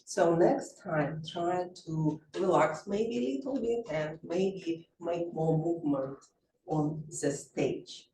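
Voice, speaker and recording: A man with a Russian accent, whose first language is Russian, giving halting, word-searching feedback as evaluator at a speaking club. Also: a little high, warm tone. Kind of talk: spoken evaluation and meeting chairing. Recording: echoey room, distant mic, faint noise.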